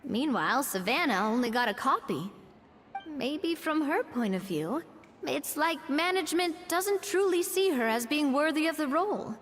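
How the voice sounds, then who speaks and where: An upbeat young heroine, high and lively in her melody, reassuring her friends with a light teasing edge. Her lines echo with long reverb and faint background ambience. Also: moderate pace, a little hiss or hum. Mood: encouraging.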